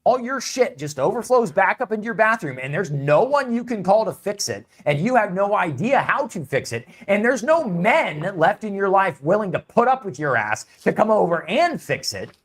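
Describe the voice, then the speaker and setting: Ranting man with a lively, higher voice. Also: moderate pace, few pauses. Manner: mocking, ranting, exaggerated doom. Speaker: online video creator. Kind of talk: ranting monologue.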